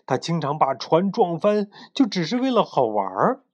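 A man's voice: fairly high pitch, very animated, moderate pace, pitched above the performer's own voice. Man as a dramatic sea adventurer spinning monster tales. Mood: dramatic, ominous. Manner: dramatic, vivid storytelling. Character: boastful sea-creature adventurer telling spooky monster tales.

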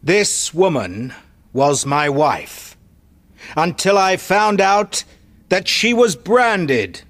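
A woman voicing a stern, commanding male musketeer leader with solemn, measured speech. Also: fairly low pitch, big pitch swings, full character voice. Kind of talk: audiobook character voice.

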